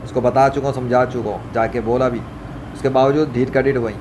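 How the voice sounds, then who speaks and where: A man's voice: mid-range voice, fairly even intonation, fairly quick. A man delivering an informal religious monologue in an indignant, scolding way, repeating phrases for emphasis. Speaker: religious vlogger. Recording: clearly noisy, ambient background hum. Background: ambient noise, running fan.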